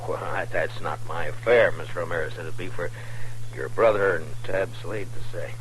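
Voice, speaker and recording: A low-voiced frontier lawman, steady, terse and measured. He speaks directly, in the style of an old radio western, on a noisy vintage recording. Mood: reserved, businesslike. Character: laconic frontier town marshal, steady and direct. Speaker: actor.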